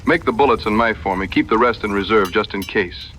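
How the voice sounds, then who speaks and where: An actor playing a steady, plain-spoken frontier hunter in an audio western, with measured folksy phrasing. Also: male voice, on the low side, a little hiss or hum. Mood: resolute. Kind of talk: dramatized audio western dialogue.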